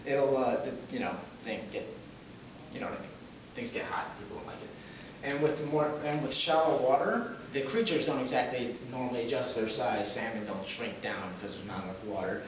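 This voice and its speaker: Man fumbling through an explainer, heavy echo. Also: medium pitch. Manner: halting, fumbling, vague. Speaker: presenter. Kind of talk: amateur explainer, loosely scripted presentation. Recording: echoey, muffled, noisy, distant camera mic.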